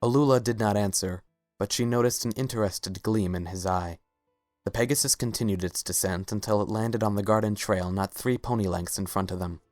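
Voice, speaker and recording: A man's voice: on the low side, fairly quick, a little mellow, dry recording, clean studio sound, soft background music. A man narrating a scripted story as a dramatic reading.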